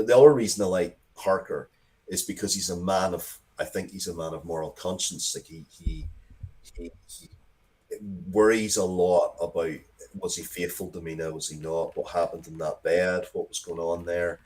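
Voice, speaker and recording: A man with a low voice talks as an interview guest. He tells stories with emphasis and rambles a little, often breaking off and starting a sentence again. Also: steady tone, normal speed, a little mellow, low-quality recording. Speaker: guest, writer of Dracula media. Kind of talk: animated interview talk, storytelling.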